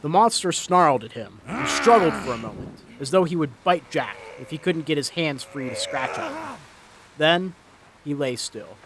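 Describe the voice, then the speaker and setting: A man's voice: a little high, huge pitch swings, moderate pace, a crowd in the background. A man reading a scripted story as a dramatic narrator. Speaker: narrator, reader. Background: story sound effects.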